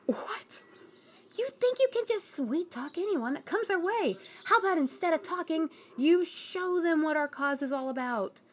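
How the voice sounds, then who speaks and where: A fiery young woman in a fantasy audio drama, acted out by a woman, with a high-pitched voice. She is pushy and sarcastic, quick to complain, and full of resentment. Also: lively intonation. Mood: scornful. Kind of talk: fantasy audio roleplay acting.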